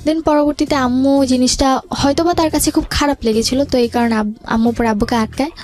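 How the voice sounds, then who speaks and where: Interview guest: young woman, Bangladeshi accent, highish voice, hesitant storytelling, English words mixed in, music underneath. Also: steady tone, brisk, a little mellow. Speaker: guest telling life story. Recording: music bed behind the voice.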